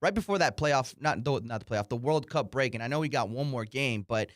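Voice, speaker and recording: A man's voice: mid-range voice, lively intonation, brisk, no echo, clean studio sound. A man giving casual, conversational soccer analysis as a co-host, explaining his points.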